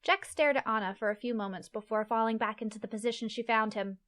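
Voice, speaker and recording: A woman's voice: a little high, steady tone, fast talker, fairly bright tone. A woman reading scripted fantasy story narration as the narrator of an audio play.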